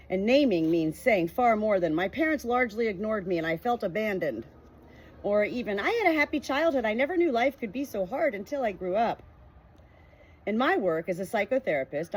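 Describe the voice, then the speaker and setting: A woman's voice: medium pitch, lively intonation, fairly quick, husky, poor audio. A woman reading a book aloud in an earnest, emphatic way, now and then breaking off into chatty personal asides. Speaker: audiobook reader.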